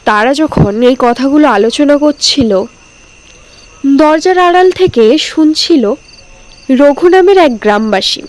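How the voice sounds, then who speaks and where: A woman's voice: high voice, lively intonation, takes it slowly, pauses often. A woman narrating a scripted cartoon story as storyteller.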